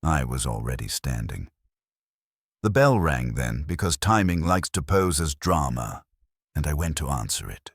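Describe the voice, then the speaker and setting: A man reading detective fiction in the first person as a narrator, with wry, measured storytelling. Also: rumbling low, sing-song, breathy edge, no echo, clean studio sound. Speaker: audiobook narrator.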